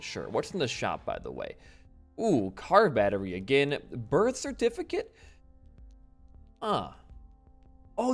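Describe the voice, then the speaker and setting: A laid-back young man from Wisconsin, a bit hoarse after losing his voice, rambling casually while commenting on his gameplay. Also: mid-range voice. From Wisconsin, USA. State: slightly hoarse from voice loss. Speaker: gaming channel host. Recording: faint game music behind.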